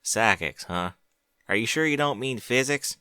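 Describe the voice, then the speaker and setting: A man's voice: medium pitch, expressive, moderate pace, sharp tone, clean tone, no room sound, clean studio sound. A man doing a teasing farm-pony big sister. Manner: teasing, down-to-earth. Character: practical older-sister farm pony mare.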